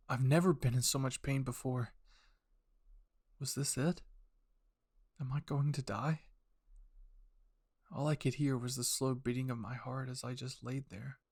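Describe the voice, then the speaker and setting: A man reads dramatic fiction with an intense delivery. His voice sits at a middling pitch, recorded close and dry with hardly any room sound. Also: darkish tone, dry recording. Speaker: fan fiction narrator. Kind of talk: dramatic scripted story narration.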